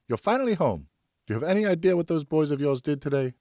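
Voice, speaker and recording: A man's voice: fairly high pitch, big pitch swings, quick pace, warm tone, no room sound, studio quality, pitched above the performer's own voice. A man voicing a distraught, angry French wife and mother in an audiobook, anxious, indignant and pleading as she scolds. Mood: accusing, shaken. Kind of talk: audiobook character acting.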